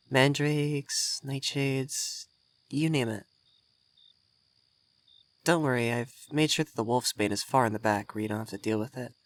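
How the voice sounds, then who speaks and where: A man's voice: medium pitch, close to an everyday voice. A man playing a young effeminate vampire mage in roleplay ASMR, vain and refined, coy and easily flustered in an intimate delivery.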